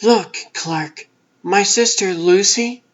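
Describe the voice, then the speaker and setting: Insistent, sardonic newswoman acted for a comic dub, measured delivery, medium pitch. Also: highs cut off, lightly acted. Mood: wry.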